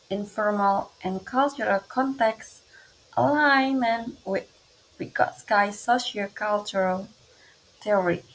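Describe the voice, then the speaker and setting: A young woman with an Indonesian accent, reading her class presentation aloud slowly and carefully, with small grammar slips. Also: a little high, a little hiss or hum, rough recording. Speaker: student presenter.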